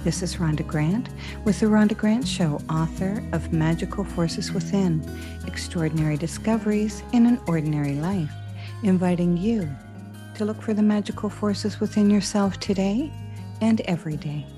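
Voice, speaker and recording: A woman hosting an interview podcast, halting and filler-heavy when she asks questions. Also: medium pitch, over music. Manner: hesitant, many fillers and restarts. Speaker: podcast host. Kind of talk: interview and show outro.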